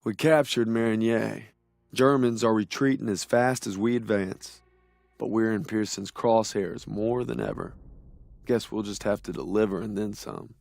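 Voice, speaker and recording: An actor playing an earnest American soldier giving first-person war game narration, plainspoken and reflective, with an American accent. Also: male voice, lowish voice, steady tone, moderate pace, vocal fry, darkish tone, no room sound. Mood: reflective, determined.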